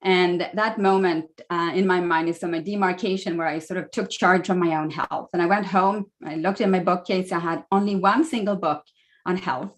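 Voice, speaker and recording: A woman in her forties with a Swedish accent, chatting through a slide talk as a guest speaker on a video call. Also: mid-range voice. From Sweden. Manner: friendly, chatty, many fillers.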